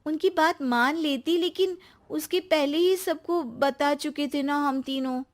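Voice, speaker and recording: Woman playing young wife, high voice, emotional, plaintive, argumentative, cartoon story. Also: measured pace. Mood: eager. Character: young married woman, emotional, quick to complain.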